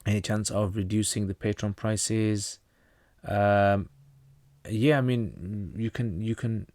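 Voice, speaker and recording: A man's voice: fairly low pitch, steady tone, slow pace, darkish tone, dry recording. A man from North Pakistan, a native Pashto speaker, hosting a casual livestream Q&A. He reads out viewer questions and answers them plainly, often restarting his sentences.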